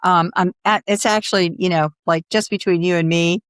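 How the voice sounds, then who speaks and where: A woman's voice: on the low side, steady tone, a little fast, clear, resonant tone, dry recording, clean studio sound. A middle-aged woman hosting a podcast, chatting casually with her guest in an effusive, encouraging way. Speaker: podcast host. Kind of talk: casual interview chat.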